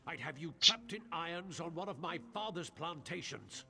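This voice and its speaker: An actor playing a scheming, haughty silk merchant in a video game, with a theatrical, wheedling and spiteful delivery. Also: male voice, on the high side, expressive, moderate pace, vocal fry. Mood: sneering, bitter. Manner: theatrical, pleading turning to sneering contempt. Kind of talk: dramatic video game voice acting. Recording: game audio with faint music.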